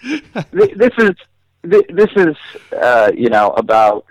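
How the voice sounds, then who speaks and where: A man with a mid-pitched voice tells comic personal stories as an interview guest. He chats casually in a wry, mock-serious way, recorded dry with little room sound. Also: sing-song, measured pace, no room sound, low-quality recording. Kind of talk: casual humorous storytelling.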